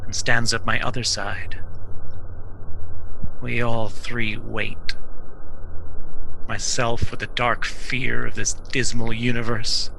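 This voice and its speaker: An actor playing a poisoned, dying man haunted by monstrous visions, speaking haltingly and portentously in old time radio drama style. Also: medium pitch, flat delivery, vocal fry, sharp tone, slight background noise, heavily acted, rough recording. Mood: grim foreboding. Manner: slow, halting, portentous delivery.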